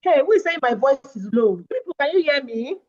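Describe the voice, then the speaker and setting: A woman's voice: sits high, fairly quick. A woman with a Nigerian accent hosting an online session, chatty and joking with the audience. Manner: lively, chatty, jokes with the audience. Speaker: webinar host. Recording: tinny phone-like sound.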